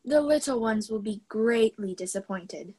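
A woman voicing a remorseful male demon who speaks softly and pleadingly in a storybook reading. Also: on the high side, lively intonation, moderate pace, husky. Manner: soft, pleading put-on voice.

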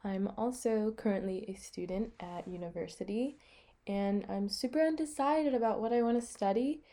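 Mellow young American woman, casual chat. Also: medium pitch, normal speed. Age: young adult, about eighteen. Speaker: podcast co-host.

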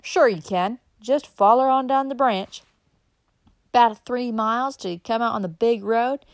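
A woman reader plays a slovenly, brash mountain woman in a storybook. She calls out in a folksy rural Southern drawl, pitched a little high, with a very dry sound around her. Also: unhurried, no room sound. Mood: loud, folksy. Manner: drawling dialect, calling out.

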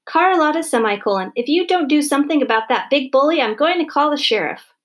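A woman voicing a furious, accusing mother in a storybook, shrill, indignant and scolding. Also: expressive, moderate pace, slightly rough.

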